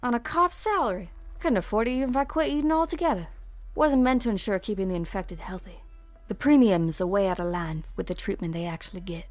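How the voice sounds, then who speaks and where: Lady acting jaded hard-bitten female cop, medium pitch, faint music. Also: a little fast, natural voice. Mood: bitter, resigned. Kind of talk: fan dub of a game story.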